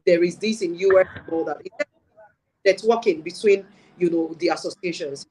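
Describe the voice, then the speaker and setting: A woman's voice: fairly low pitch, moderate pace, dark tone, husky, poor audio. A woman with a Nigerian accent interviewing in a conversational, affirming way as host. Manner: affirming, agreeing with the guest. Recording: faint background noise.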